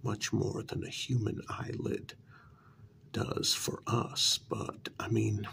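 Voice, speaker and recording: A man doing a soft-spoken, often whispered ASMR role-play as a coaxing, placating talent agent, with deliberate, halting phrasing. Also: on the low side, level tone, creaky, a little hiss or hum. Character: persuasive, placating talent agent to supernatural creatures.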